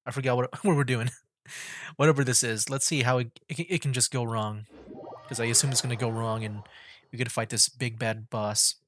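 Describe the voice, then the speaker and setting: A man's voice: mid-range voice, fairly even intonation, brisk, a bit bright. A man livestreaming a video game, rambling casually and thinking aloud as he plays. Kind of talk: casual gameplay commentary. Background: game music.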